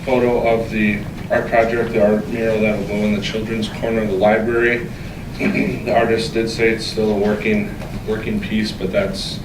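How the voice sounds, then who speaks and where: A man giving an informal spoken update to a city council as a staff member. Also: lowish voice. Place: indoor council meeting room. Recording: echoey room, distant mic, background noise.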